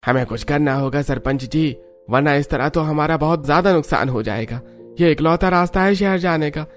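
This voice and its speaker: A man's voice: on the high side, pitched above the performer's own voice, natural voice. A man voicing a worried village man in a cartoon story, arguing earnestly and insistently. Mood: firm, aggrieved. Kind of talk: Hindi cartoon story dialogue.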